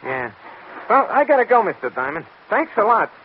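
High-pitched nervous cabbie, old radio. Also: male voice, big pitch swings, normal speed. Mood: worried. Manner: hesitant, deferential, colloquial. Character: humble, nervous working-class New York cab driver. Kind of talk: 1940s radio acting. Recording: muffled, noisy old radio recording.

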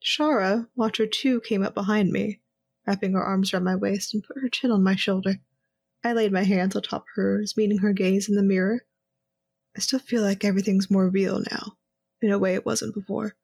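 A woman's voice: mid-range voice, steady tone, normal speed, creaky, a little mellow, husky. A woman narrating an audio drama in the first person, with a dry, wry manner. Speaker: narrator and character.

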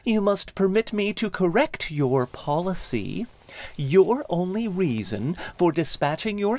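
A man's voice: a little high, pitched above the performer's own voice. A man voicing a clever, smug young prince who talks in a pompous, precise way. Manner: pompous, precise, lightly amused.

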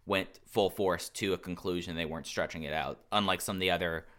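A man's voice: deep voice, steady tone, a little fast, a bit bright. A man chatting casually as a panelist, rambling and peppering his sentences with filler words. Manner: rambling, says "like" constantly. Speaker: panelist on a wrestling review show. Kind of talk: casual chat.

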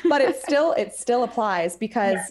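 A woman's voice: on the high side, lively intonation, darkish tone. Chatty woman reading cards aloud. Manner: reads card passages slowly, chatty and affirming in between. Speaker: podcast host reading oracle cards. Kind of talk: casual chat.

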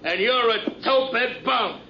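Shrill punch-drunk boxer, old radio drama. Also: male voice, measured pace, gravelly, heavily acted. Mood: suspicious, taunting. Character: punch-drunk washed-up boxing champ, paranoid and volatile. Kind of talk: 1950s radio drama acting. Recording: dull vintage radio recording, faint noise.

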